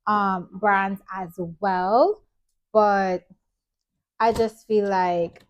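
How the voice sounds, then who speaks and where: A woman's voice: mid-range voice, fairly even intonation, very slow, dull audio. A woman from Trinidad who works as a hair stylist, chatting casually to camera with lots of ums and likes. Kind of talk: casual vlog chat to camera.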